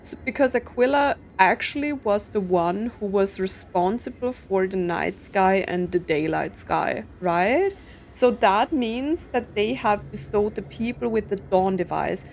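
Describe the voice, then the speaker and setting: A woman chats casually through a story game she is playing. She sounds puzzled and thinks aloud, leaning on filler words. Her voice sits at a middle pitch, with some faint noise in the recording. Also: measured pace, gravelly, a little mellow. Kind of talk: casual reaction commentary.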